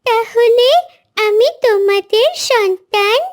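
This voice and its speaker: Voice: very high-pitched, steady tone. A performer voicing a brave, earnest little orphaned girl bird in a cartoon. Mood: hopeful. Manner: earnest, deliberate. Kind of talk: cartoon character acting.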